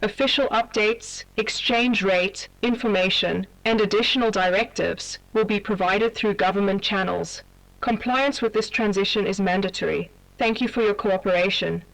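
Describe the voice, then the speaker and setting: The voice is that of an official announcer delivering a scripted advisory. It is formal, measured and impersonal, sitting at a medium pitch. It sounds set back from the microphone, like a radio broadcast. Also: creaky, a bit bright, far from the mic, low-quality recording.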